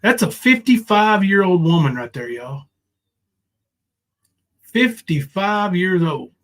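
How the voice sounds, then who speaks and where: A man's voice: high voice, very animated, slow. A middle-aged man hosting a casual livestream, chatting in an informal, foul-mouthed way. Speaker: livestream host.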